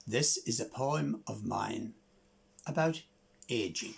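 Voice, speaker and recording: An older man with an Irish accent, reading poetry and introducing performers in a measured, deliberate way. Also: medium pitch, lively intonation, gravelly, breathy edge. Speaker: host introducing songs and reading his own poem.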